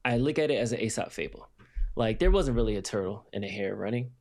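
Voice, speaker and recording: A man's voice: lowish voice, quick pace, vocal fry, darkish tone. A man co-hosting a live podcast, explaining his views in a loose, rambling way with lots of fillers. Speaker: co-host reading the chat. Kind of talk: casual live-stream talk.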